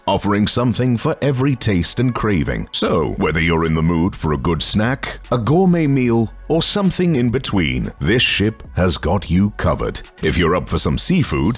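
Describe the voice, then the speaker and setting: A male narrator with a low, husky voice delivers polished scripted narration. His intonation rises and falls in wide, animated swings. Soft music plays beneath him. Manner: polished voice-over with lively, rising and falling delivery.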